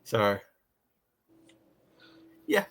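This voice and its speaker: A man from Australia co-hosting a casual review chat, talking in a halting way and often repeating words. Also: fairly bright tone. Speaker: podcast co-host and reviewer.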